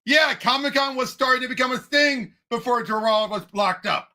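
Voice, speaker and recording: A man co-hosting a casual movie discussion podcast, talking emphatically and excitably and jumping in often. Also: extremely high pitch, moderate pace. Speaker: podcast co-host.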